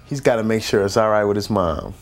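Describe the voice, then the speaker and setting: A man's voice: lowish voice, quick pace. A man delivering wry, tongue-in-cheek scripted documentary narration. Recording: loud music under the voice. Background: loud music.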